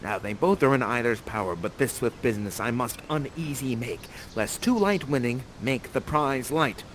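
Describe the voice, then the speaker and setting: A man's voice: high-pitched, sing-song, slow pace, bright, forceful tone, slight background noise, heavily acted. A man playing a commanding, scheming magician father in a stage play, with theatrical, archaic diction. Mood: sly.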